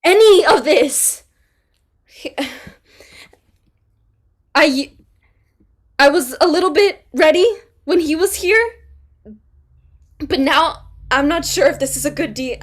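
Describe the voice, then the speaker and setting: An actress reading the part of a grieving young pregnant woman, speaking haltingly and dropping to near whispers. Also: high voice. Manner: halting, trailing into quiet, near-whispered confessions. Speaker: actor in a staged play reading. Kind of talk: staged play reading.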